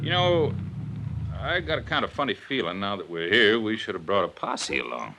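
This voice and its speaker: An American man playing a folksy, plainspoken western sidekick in a 1950s radio drama, with a drawn-out country delivery. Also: fairly low pitch, big pitch swings, gravelly. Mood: uneasy. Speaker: supporting actor in a radio drama. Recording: noisy old radio drama recording.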